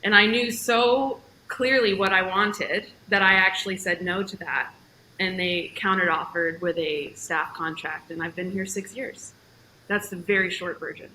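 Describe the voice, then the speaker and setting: Bright-voiced young woman telling her story. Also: mid-range voice, reverberant, slight background noise, rough recording. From grew up in Jordan and Ethiopia. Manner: lively, quick storytelling. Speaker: journalist guest. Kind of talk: casual interview, storytelling. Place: at home, indoors.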